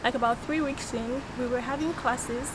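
A young woman in her twenties chatting casually while giving an outdoor campus walking tour, friendly and quick to laugh. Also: on the high side, steady tone, background noise. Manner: chatty, laughs often, friendly advice to viewers. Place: outdoors on a street and campus grounds.

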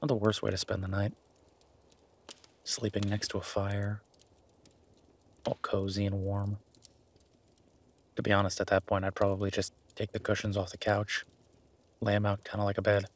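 A man playing a shy, gentlemanly boyfriend in an intimate romantic audio roleplay, speaking softly and haltingly with gentle teasing. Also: fairly low pitch, completely flat delivery. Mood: tender, hesitant. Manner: hesitant, soft-spoken, gently teasing.

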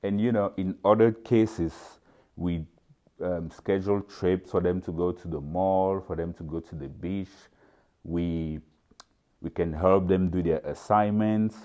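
A man from Cameroon in his thirties talks in a relaxed, thoughtful way, with occasional restarts, in a documentary interview. His voice sits very low and sounds mellow and warm. He is seated in a large indoor lounge, recorded by an off-camera mic. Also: measured pace. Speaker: dorm parent.

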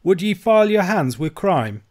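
Man playing a whining old Scottish miser, high-pitched. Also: animated, moderate pace, close to an everyday voice. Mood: wailing, horrified. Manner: wailing, snarling protests. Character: miserly old Scottish uncle, whining, cowardly, self-righteous. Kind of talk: audiobook character voice.

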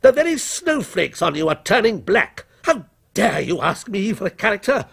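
A man's voice: high-pitched, normal speed, slightly rough, pitched above the performer's own voice. A man voicing a frightened, desperate male writer in a story, agitated and given to stammering. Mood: defiant outrage.

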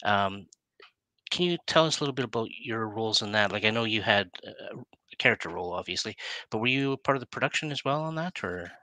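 A middle-aged man hosting a casual interview over a headset, with halting, hesitant phrasing. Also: on the low side, expressive, sharp tone, no echo, low-quality recording. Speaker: interview host. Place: indoor room. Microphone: headset on a video call.

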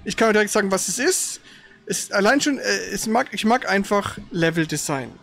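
A man with a high-pitched voice hosts a live gaming stream. He comments casually as he plays. His speech rambles, with frequent stutters and self-corrections. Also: animated, normal speed, vocal fry. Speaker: host of a gaming livestream.

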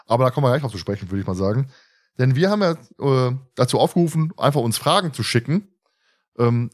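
Fast-talking, chatty male podcast host. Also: medium pitch. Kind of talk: casual podcast chat.

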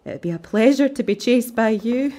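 A woman's voice: fairly high pitch, animated, brisk, mellow, slight background noise, close to an everyday voice. An actress with a Scottish accent playing a bitter, grieving widow and mother in a radio drama, speaking plainly and with emotion. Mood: wistful.

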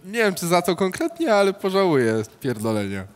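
Young polish guy on a headset mic, a bit high, stalls and swears, big roomy reverb. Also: big pitch swings, fairly quick. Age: young adult. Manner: hesitant, swears, stalls on answers. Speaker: fighter answering questions. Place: indoor studio stage.

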